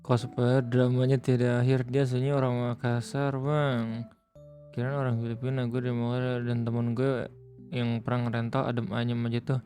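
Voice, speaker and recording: Guy streaming, chatty and jokey, mumbles to himself between bits, normal pitch. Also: flat delivery, normal speed, warm tone, soft background music. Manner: jokey, laughs often, mutters to himself. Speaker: livestream host. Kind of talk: casual reaction stream chat.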